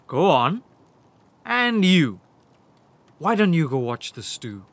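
A man's voice: on the high side, sing-song, slow pace, a bit bright, dry recording, exaggerated voice. A man playing a paranoid, menacing bandit warlord in an audio drama, mocking and theatrical. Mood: suspicious, tense.

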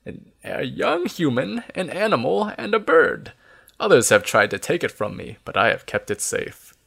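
High, faltering, excitable old hermit. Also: male voice, gravelly, husky, exaggerated voice. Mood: wistful. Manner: excitable, halting. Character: tiny ancient hermit man, impatient, excitable, forgetful.

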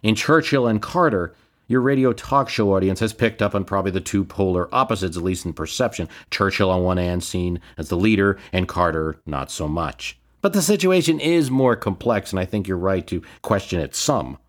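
Low-pitched American man, podcast commentary. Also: lively intonation, moderate pace. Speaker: history and politics podcast host. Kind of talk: explanatory solo podcast commentary, answering listener questions.

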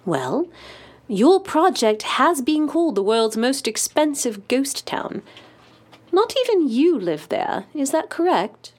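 A woman's voice: high-pitched, sing-song, slow, full character voice. A woman playing a probing news reporter in an audio drama interview, polite but pointed and pressing.

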